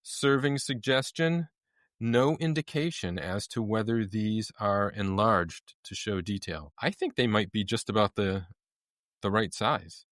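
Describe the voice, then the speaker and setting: A man's voice: lowish voice, measured pace, fairly bright tone, no echo, studio quality. A man co-hosting a podcast, casually chatting and reading items off packaging with a wry manner. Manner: wry, reads items off lists. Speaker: podcast co-host.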